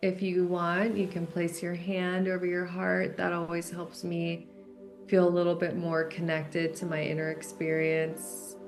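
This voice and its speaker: A woman with a slightly low voice guides a meditation very slowly over background music. Her manner is gentle and unhurried, and she leaves long pauses between soft, simple instructions. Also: completely flat delivery.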